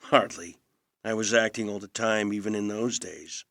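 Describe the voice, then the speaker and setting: Audio drama role: older male film star, low voice, charming but evasive, understated and gently wry, close dry sound. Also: fairly even intonation, normal speed, no room sound. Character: aging movie-star brother, charming, evasive, gently attentive.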